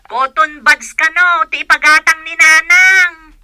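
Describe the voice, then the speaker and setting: A woman with an Ilocano accent playing a lively, plain-spoken grown daughter and elder sister in a radio comedy drama. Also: extremely high pitch, takes it slowly, dry recording, clean studio sound, exaggerated voice. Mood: insistent. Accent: Ilocano, northern Philippines.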